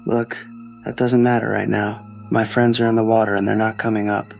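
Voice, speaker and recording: A man playing a panicked young man phoning for emergency help in an audio drama, halting and repeating himself. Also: fairly low pitch, level tone, gravelly, darkish tone, soft background music. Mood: anxious, rattled.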